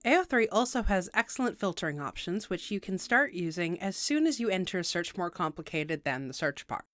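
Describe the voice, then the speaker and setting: A woman's voice: fairly low pitch, sing-song, fairly quick, a bit bright, dry recording, clean studio sound. A woman hosting a video tutorial, reading scripted explainer narration in a precise, instructional way with wry asides.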